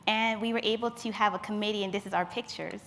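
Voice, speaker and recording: A woman's voice: medium pitch, steady tone, fast talker, vocal fry, fairly bright tone, slight background noise. A woman in her twenties, a youth leader, giving a personal talk as she presents photo slides to a forum. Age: 20s.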